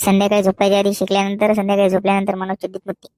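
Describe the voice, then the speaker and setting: A woman's voice: medium pitch, completely flat delivery, very fast, a little mellow, dry recording, lightly acted. A woman voicing a precocious little grandson in a comedy cartoon, talking like a grown-up with a rural Maharashtrian accent. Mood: cheeky.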